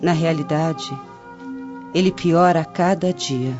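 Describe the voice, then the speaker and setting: Worried aunt, lowish voice. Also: female voice, takes it slowly. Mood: grave concern. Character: worried, gentle Roman aunt. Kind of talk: radio drama acting. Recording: dull lo-fi radio drama audio. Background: music.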